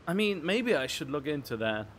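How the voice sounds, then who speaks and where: A man giving casual let's play commentary as a game streamer, halting and repeating words as he thinks aloud. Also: a little high, sing-song, fairly bright tone, slight background noise.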